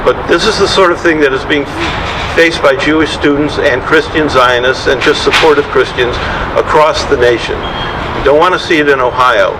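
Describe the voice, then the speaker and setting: A man giving a public address, recounting events as a speaker at a press event. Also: moderate pace. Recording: distant mic, loud room rumble.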